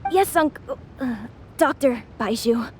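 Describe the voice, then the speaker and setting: A woman's voice: on the high side, slow pace, darkish tone, a little hiss or hum. A woman voicing an earnest, worried little boy in an anime game, with a youthful boyish voice and a polite manner. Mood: curious, hopeful.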